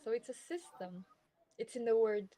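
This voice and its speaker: A woman hosts a casual live radio chat, her voice a little high. She is cheerful and polite, and she laughs easily between questions. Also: normal speed, warm tone, a little hiss or hum. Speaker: radio program host.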